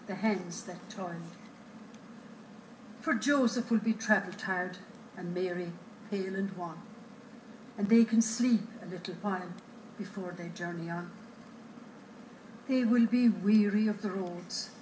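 Slow, measured woman reading a poem. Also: mid-range voice. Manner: measured, steady recitation. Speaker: poetry reader. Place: theatre stage. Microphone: far camera in the audience. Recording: roomy theatre with faint hiss.

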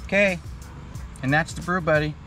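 A man in his thirties giving a relaxed, chatty step-by-step demonstration outdoors at a campsite under a canopy tent. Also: on the high side, normal speed. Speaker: vlogger. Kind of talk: casual how-to demo. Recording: background music under voice. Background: music.

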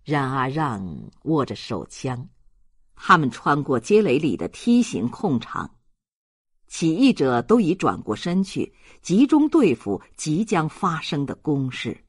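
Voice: mid-range voice, very animated, takes it slowly, breathy edge, no room sound, studio quality. A narrator reading literary fiction aloud in a dramatic storytelling manner. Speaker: audiobook narrator.

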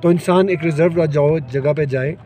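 A young man with a Pakistani accent talks in a mellow, slightly high voice. He chats casually and slips English phrases into his speech, with faint outdoor noise around him. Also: moderate pace. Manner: slips between Urdu and English. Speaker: vlogger's companion. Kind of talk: casual vlog chat. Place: outdoors on a moving mountain chairlift. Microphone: handheld phone camera. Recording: outdoor phone recording, faint ambient noise.

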